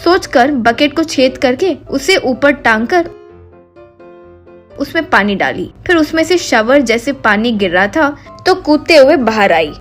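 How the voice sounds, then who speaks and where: A woman's voice: high voice, expressive, unhurried. A woman narrator reading a scripted comic story. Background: faint music.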